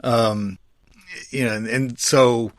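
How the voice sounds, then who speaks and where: A man hosts a history podcast in a relaxed, conversational style. His voice sits at an average male pitch. The sound is dry, with very little room around it. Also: slow pace, dry recording. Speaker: podcast host. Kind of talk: casual conversational history discussion.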